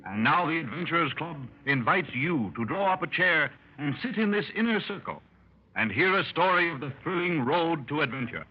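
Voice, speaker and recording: An actor playing a formal, grandly inviting announcer in the style of 1930s radio. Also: male voice, on the high side, lively intonation, moderate pace, natural voice. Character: formal announcer of an adventure club radio show. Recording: muffled 1930s radio broadcast, music behind.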